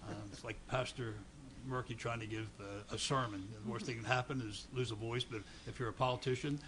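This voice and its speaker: An older man, the vice mayor, speaking hoarsely with a cold in folksy, stammering council remarks at a desk microphone. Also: medium pitch, fairly even intonation, fairly quick, a crowd in the background. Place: council chamber, seated at dais.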